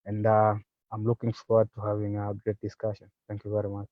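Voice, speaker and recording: A man from Kenya, a youth ambassador introducing himself politely on a panel, halting with fillers. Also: lowish voice, completely flat delivery, dry recording. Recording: dull dry video call audio.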